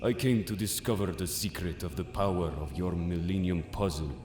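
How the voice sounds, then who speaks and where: A man's voice: sits low, normal speed, over music, lightly acted. A man playing a solemn, mysterious Egyptian mystic in an audio drama, speaking in a grave, portentous manner. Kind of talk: audio drama acting of a manga. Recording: echoey, long cavernous reverb.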